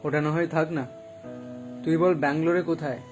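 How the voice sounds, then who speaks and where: A man's voice: fairly high pitch, steady tone, fairly quick, faint music behind, lightly acted. A man acting an earnest, pleading young man searching for a lost friend in an audio drama. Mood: insistent. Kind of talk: Bengali audio drama dialogue.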